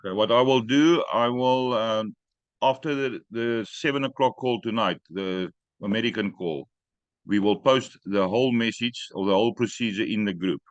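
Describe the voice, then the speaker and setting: A man hosting an online training call, giving brisk instructions with joking asides. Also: on the low side, no room sound, dull audio.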